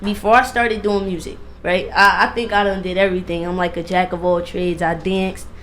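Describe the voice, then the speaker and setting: A woman's voice: fairly low pitch, flat delivery, fairly quick, fairly bright tone, slight background noise. A woman radio DJ chatting casually and emphatically in slang as she interviews a guest, often repeating phrases for emphasis.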